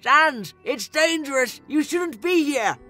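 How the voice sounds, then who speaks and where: Woman voicing an earnest, high-voiced skeleton hero. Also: animated, slow pace, sharp tone, heavily acted. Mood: urgent. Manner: earnest, pleading. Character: earnest, worried, loyal male skeleton, naive hero. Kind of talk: animated comic dub voice acting. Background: music.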